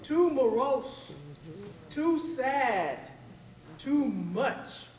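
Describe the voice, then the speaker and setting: A woman hosting a theatre evening, speaking formally and deliberately in measured, oratorical phrases. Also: high-pitched, lively intonation, crowd noise. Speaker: host of a readers theatre. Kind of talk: formal stage welcome address. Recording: roomy, distant mic, faint noise.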